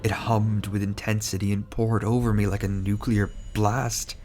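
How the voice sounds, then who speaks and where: A man with a stuffy cold voice narrating a horror story in a measured, slow, dramatic delivery. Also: lowish voice, little pitch movement, darkish tone. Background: music.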